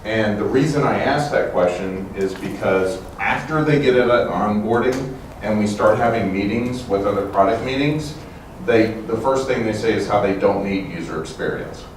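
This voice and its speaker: A man with a very low voice speaks casually to a conference audience, with plenty of fillers. He sounds distant from the mic. The room rings with strong echo and noticeable noise. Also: normal speed. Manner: loose, chatty, with fillers. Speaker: colleague answering audience question. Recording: very echoey, distant, noisy room.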